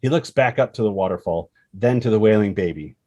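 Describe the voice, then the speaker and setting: Man, low voice, slightly rough, dramatic script narration, punchy expressive phrasing, dry close sound. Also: a little fast, no echo, dull audio. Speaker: screenplay reader.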